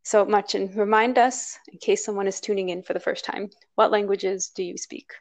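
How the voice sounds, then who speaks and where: A woman's voice: mid-range voice, steady tone, moderate pace, dry recording. A woman hosting a podcast, upbeat and chatty, with easy laughter in a casual conversation. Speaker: podcast host.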